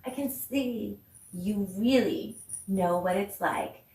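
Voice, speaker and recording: A woman teaching a children's online dance lesson, speaking in an encouraging, animated way with lots of questions and deliberate pauses. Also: mid-range voice. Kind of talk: children's online arts lesson, addressing viewers. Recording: echoey room, distant mic, faint noise.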